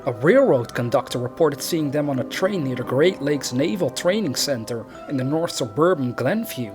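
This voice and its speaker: A man's voice: mid-range voice, animated, moderate pace. Man narrating true crime over music. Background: background music.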